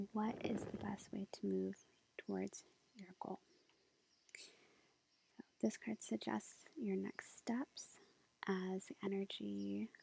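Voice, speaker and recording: Sweet young witch girlfriend, played by a woman for tarot ASMR: hushed, mellow, even whisper, gently reassuring, faint noise behind. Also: medium pitch, rough recording. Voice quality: breathy, whispered. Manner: gentle, hesitant, reassuring, unhurried. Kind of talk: soft whispered ASMR roleplay. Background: faint ambient nature sounds.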